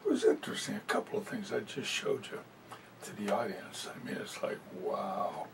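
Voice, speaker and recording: A man interpreting a talk aloud, picked up from a distance in a hall with a faint low rumble behind him. His voice has a gravelly edge and moves lively up and down. He talks in a loose, conversational way, full of fillers. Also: moderate pace. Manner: conversational, many "you know" fillers. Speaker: sign language interpreter voicing a presenter. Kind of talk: live spoken interpretation of a talk.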